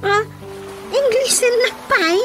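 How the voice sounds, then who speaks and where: A woman playing an excitable, tender-hearted young daughter in a radio comedy drama, with exclamatory, wide-eyed delivery. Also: very high-pitched, slow pace, music in the background. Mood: astonished.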